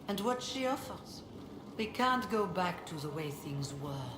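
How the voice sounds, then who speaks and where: A woman voicing a weary, dignified mage leader in a video game, speaking in a measured, formal, reflective way. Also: on the low side, close to an everyday voice. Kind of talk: video game dialogue acting. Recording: roomy echo, clearly noisy game capture.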